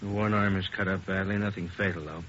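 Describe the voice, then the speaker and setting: An actor playing a calm, cautious hospital doctor in a 1940s radio drama. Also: male voice, low-pitched, brisk. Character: calm hospital doctor tending a knifed boy. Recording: hissy muffled old radio broadcast.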